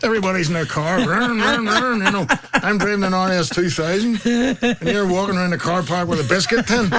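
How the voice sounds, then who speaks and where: A man's voice: high-pitched, lively intonation, measured pace, noisy background. A middle-aged man with a Belfast accent chatting casually as a host, reading out messages and telling jokey anecdotes, acting out what people said. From Northern Ireland. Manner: jokey, acting out reported remarks. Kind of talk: casual comic chat and anecdotes.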